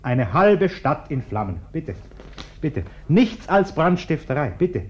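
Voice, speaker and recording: An actor in a German radio play playing a self-important bourgeois householder, curt, pompous and nervously polite. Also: male voice, fairly high pitch, big pitch swings, takes it slowly, a little mellow. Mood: agitated. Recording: dull, echoey radio play recording.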